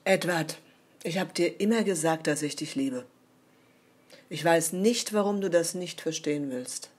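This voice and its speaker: A woman's voice: low voice, a little slow, darkish tone, breathy edge. A woman playing a desperate, pleading wife. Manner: pleading, reproachful. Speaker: voice actor.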